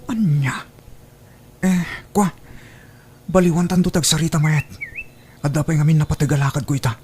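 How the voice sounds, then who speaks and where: A woman's voice: deep voice, normal speed, mellow, slight background noise, close to an everyday voice. A woman acting an evasive, jealous lover in an audio drama, with a Filipino accent. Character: Boni, evasive, jealous lover short of money. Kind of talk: Ilocano radio drama acting.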